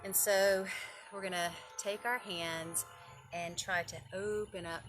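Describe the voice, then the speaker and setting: A woman's voice: medium pitch, fairly even intonation, slow pace, music in the background, low-quality recording. A woman leading children's yoga and storytime, giving informal, encouraging, step-by-step guidance. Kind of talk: informal instruction and picture-book storytelling for children.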